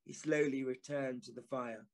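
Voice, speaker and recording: An actor playing a gentleman who narrates a Victorian ghost story in the first person, grave, puzzled and formal. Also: medium pitch, fairly even intonation, vocal fry, a little hiss or hum, natural voice. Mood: grave, uneasy. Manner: deliberate, formal, literary. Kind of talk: dramatic first-person scripted narration, Victorian audio drama.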